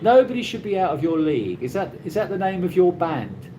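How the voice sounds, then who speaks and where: A British man talks casually on a live stream, his voice rising and falling in a lively way. He rambles and restarts his sentences often. Steady noise from the surroundings runs under his voice. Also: sits high. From Britain. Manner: rambling, halting, with many restarts. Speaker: livestream host reading viewer comments. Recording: noisy ambient background.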